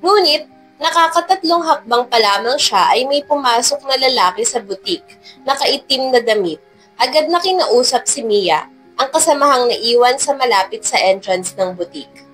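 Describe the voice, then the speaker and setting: A woman's voice: sits high, lively intonation, moderate pace, fairly bright tone, faint music behind. A woman narrating a scripted love story as a storyteller.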